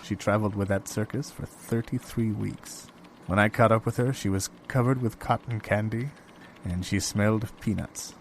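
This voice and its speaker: Male actor as eccentric young bankrupt, lowish pitch, rambling and evasive, improvised audio comedy with slight background noise. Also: steady tone, moderate pace, natural voice, low-quality recording. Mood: hesitant, rambling. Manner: hesitant, literal-minded, rambling.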